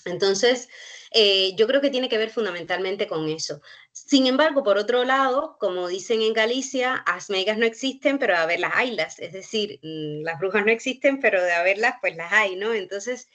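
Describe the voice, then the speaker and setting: A woman from Cuba with a Cuban accent, a university researcher speaking on a workshop panel, thinking aloud and pausing often as she searches for words. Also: medium pitch, a bit bright. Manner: thoughtful, searching for words with many pauses and fillers. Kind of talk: reflective panel talk.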